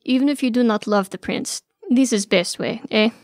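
A woman's voice: on the high side, normal speed, no echo, clean studio sound, close to an everyday voice. A woman voicing a kindly, weary old female servant with a thick Russian accent and plain, broken English, in an audiobook story. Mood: wry, advising.

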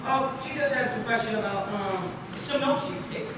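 A man's voice: extremely high pitch, normal speed. A young man plays a courteous old-time radio show host with a dry, bossy streak. He is heard from a distance on a very echoey stage, with noticeable noise behind him. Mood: breezy, evasive. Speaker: actor in a school one-act play. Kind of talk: stage acting of a 1940s-style radio show. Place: school auditorium stage, recorded from afar.

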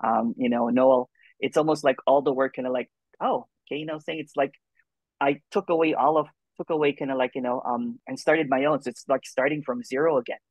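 A man's voice: mid-range voice, fairly even intonation, a little fast, a little mellow, dry recording. A man, chatting casually on a video call with lots of "you know" and "kinda like". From Philippines. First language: Filipino. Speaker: non-duality speaker and YouTuber. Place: room with a gray wall indoors. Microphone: webcam on a video call. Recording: dull lo-fi webcam audio.

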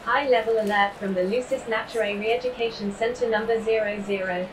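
Woman voicing a formal high-pitched computer announcer. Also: steady tone, a little fast, background noise, natural voice. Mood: formal announcing. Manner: formal, procedural. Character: formal female automated communication system announcing alerts. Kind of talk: scripted announcement in an audio drama.

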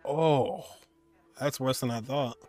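A man's voice: medium pitch, fairly even intonation, slow, a little mellow. A man in his twenties giving casual, joking, slangy reaction commentary as he streams a video game. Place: indoors, streaming at a desk.

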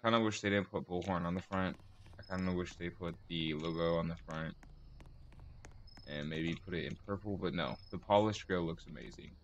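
A man with a low voice hosts a casual showcase video. He talks in short, halting phrases and corrects himself as he goes. The sound is dull and lo-fi, as from a basic computer microphone. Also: slight background noise. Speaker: host of a car collection video channel. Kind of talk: casual video game car tour commentary.

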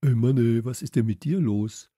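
A man's voice: mid-range voice, normal speed, warm tone, husky, dry recording, clean studio sound, natural voice. A man voicing a brash, slangy boy with a blunt, exclamatory manner in a children's audiobook. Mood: excited, loud.

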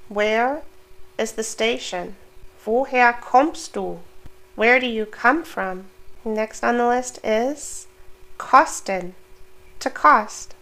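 A woman in her thirties teaching vocabulary at a very slow, deliberate pace. Her voice sits slightly high and has a gravelly, fry-edged texture. She speaks into a studio microphone in a small indoor room. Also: fairly bright tone. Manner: reads each word and example sentence slowly, then gives the English. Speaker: German language teacher. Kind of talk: slow, careful vocabulary lesson. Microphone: studio microphone on boom arm.

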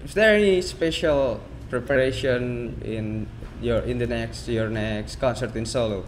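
A male interviewer on a headset asking hesitant questions over a video call, with halting restarts. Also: medium pitch, slight background noise. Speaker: interviewer, host.

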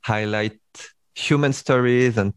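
A man's voice: medium pitch, fairly even intonation, slow, smooth, no room sound. A man with a Vietnamese accent hosting a podcast interview, speaking in a hesitant way full of fillers and restarts. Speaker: podcast host. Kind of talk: casual interview chat.

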